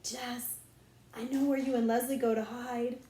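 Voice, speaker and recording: Woman putting on a shrill, sassy little girl. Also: little pitch movement, moderate pace, dark tone, echoey room, a little hiss or hum, lightly acted. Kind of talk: audiobook character voice.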